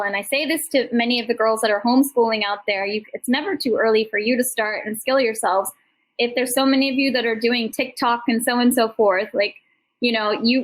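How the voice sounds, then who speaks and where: A middle-aged woman with a slightly high voice talks as a panel host. Her delivery is informal and conversational, full of encouragement. She rambles effusively, moving between personal anecdotes and motivational appeals. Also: rough recording. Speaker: host and founder speaking at an online summit panel.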